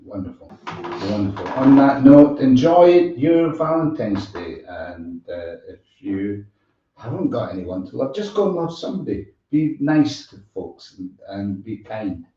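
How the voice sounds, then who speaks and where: A man hosting a music show live, chatting casually in a rambling, halting way. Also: mid-range voice, animated, mellow. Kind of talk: casual live sign-off chat. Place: room with echo, away from the mic. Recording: roomy, distant, dull-sounding microphone.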